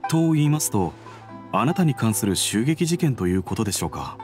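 A man playing an earnest detective agency employee in an anime game, speaking in a formal, deferential, measured way. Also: medium pitch, very animated, a little mellow, close to an everyday voice. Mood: respectful, earnest. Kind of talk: Japanese anime game dialogue. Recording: faint music under the voice.

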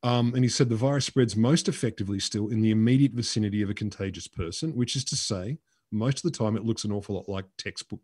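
Middle-aged Australian podcast co-host, husky, fast and emphatic. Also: male voice, lowish voice, darkish tone. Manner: storytelling, emphatic, gestures while talking. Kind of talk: casual science chat. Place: home room with shelves. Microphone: headset on a video call.

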